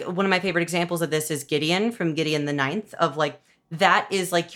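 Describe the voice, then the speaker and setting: A woman's voice: low-pitched, fairly bright tone. A woman talking casually and analytically about storytelling, informal and wry, peppering her speech with "like". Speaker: podcast interviewer and showrunner.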